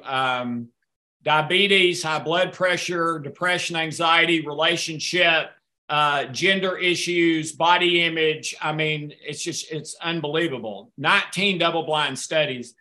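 A man's voice: on the high side, bright, forceful tone. A man answering audience questions in a casual session, rambling a little and poking fun at himself. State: a little hard of hearing. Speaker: guest speaker answering questions.

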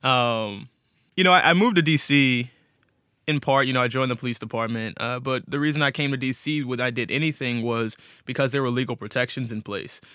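A man's voice: medium pitch, fairly quick, sharp tone, clear, resonant tone, no echo, clean studio sound. A man speaking as a guest storyteller in a casual interview, candid and informal, with a self-deprecating way of talking.

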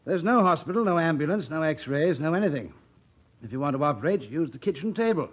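An actor playing a cynical, hard-drinking, witty doctor in a vintage radio play, with a breezy, ironic, theatrical delivery. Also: male voice, fairly high pitch, expressive, fairly quick. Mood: breezy, sardonic. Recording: dull old radio broadcast recording.

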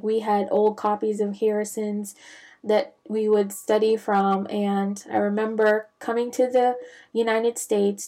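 A young woman from the Bahamas, a physician, telling her career story in a reflective, measured way with frequent fillers. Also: fairly high pitch, dark tone. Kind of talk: casual personal storytelling.

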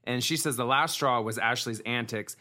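A man's voice: medium pitch, moderate pace, sharp tone. A man co-hosting a casual podcast, recapping a reality show in a chatty way with many fillers and restarts. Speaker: podcast co-host.

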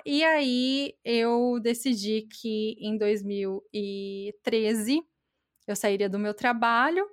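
A woman telling her story in a casual conversation, speaking softly and often trailing off with little tag questions. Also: a little high, dry recording, studio quality.

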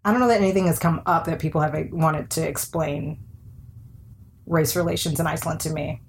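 Woman cohost, kinda low voice, blunt and wry, does little voices for quotes, faint hiss. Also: fairly even intonation, brisk, gravelly. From American South. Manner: candid, wry, acts out quoted remarks. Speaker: podcast co-host. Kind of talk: casual conversation.

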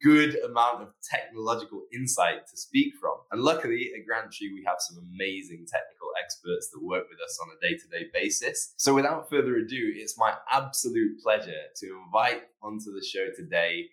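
A man hosting a podcast, talking in a measured, self-deprecating way and often repeating words as he goes. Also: lowish voice, a bit bright, roomy sound, poor audio. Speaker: podcast host. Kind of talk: podcast chat.

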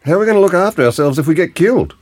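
Bumbling older grandpa. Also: male voice, a little high, lively intonation, rapid-fire, darkish tone. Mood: worried. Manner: earnest, blunt, hesitant. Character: worried, bumbling, gullible grandfather. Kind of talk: comic audio drama dialogue.

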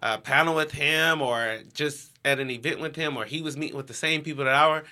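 Interview guest: American male, young adult, crisp bright timbre, informal conversational delivery. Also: a little high, a little fast. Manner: informal, heavy use of "like". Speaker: interview guest, education nonprofit co-founder.

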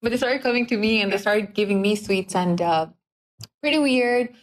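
A young woman in her twenties from Dubai, guesting on a podcast. She speaks at a middle pitch. Her story comes out chatty and loose, full of little fillers and light laughs. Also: moderate pace. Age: 20s. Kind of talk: personal storytelling in an interview.

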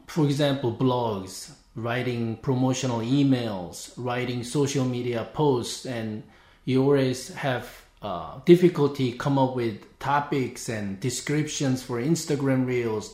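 A man's voice: mid-range voice, a little mellow. A man whose first language is Korean, hosting a podcast and talking viewers through a casual step-by-step tutorial, narrating each click as he goes. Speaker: podcast host. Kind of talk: casual screen-share tutorial.

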